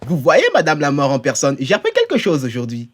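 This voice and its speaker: A woman voicing a boastful but cowardly young male outlaw in an animated fan dub, talking in a cocky, rambling, easily flustered way. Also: low voice, a little fast, slight background noise. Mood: smug bravado.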